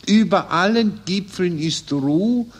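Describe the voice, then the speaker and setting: An actor playing a vain, eager Viennese councillor who writes war verse, in a radio play with self-important, declaiming delivery. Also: male voice, high-pitched, takes it slowly. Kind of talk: mid-20th-century radio play acting.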